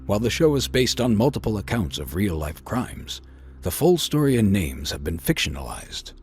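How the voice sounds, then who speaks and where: Low-voiced male announcer over soft music. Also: very animated, normal speed. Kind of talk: scripted podcast intro narration.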